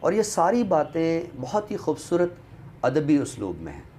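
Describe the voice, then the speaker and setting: A man with a Pakistani accent discussing books in a deliberate, scholarly way, often pausing mid-sentence. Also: a little high, huge pitch swings, dark tone. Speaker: literary show presenter. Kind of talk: book discussion in Urdu literary talk. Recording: noticeable background noise.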